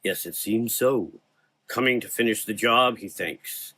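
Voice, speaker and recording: A man voicing an eerie, calm shadow avenger in a gothic horror audio drama, taunting in a smooth, deliberate way. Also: fairly low pitch, no room sound. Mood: calm, foreboding.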